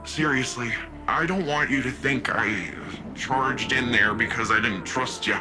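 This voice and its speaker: An actor voicing a brash young warrior who talks in an earnest, halting, heartfelt way in video game dialogue. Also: male voice, mid-range voice, close to an everyday voice. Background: game score music.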